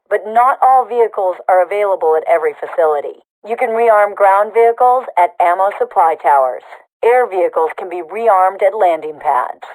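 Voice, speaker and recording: A calm, authoritative female military overseer for a sci-fi shooter game. She speaks at a medium pitch in measured, formal phrases. Her scripted announcements come through a radio comms filter. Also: rough recording. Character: military commander woman briefing soldiers, calm and authoritative.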